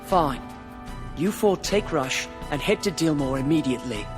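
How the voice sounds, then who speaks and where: Male actor as commanding young game lord, formal, authoritative, long reverb, clear background music. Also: on the high side, lively intonation, normal speed, close to an everyday voice. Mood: firm, commanding. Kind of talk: video game cutscene acting.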